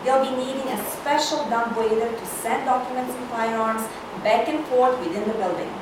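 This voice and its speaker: A woman's voice: a little high, moderate pace, close to an everyday voice. A woman cold reading the part of a domineering, haughty corporate older sister in a screenplay, bossy and dryly sarcastic. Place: indoor reading hall, recorded from far off. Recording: echoey room, distant mic, loud noise.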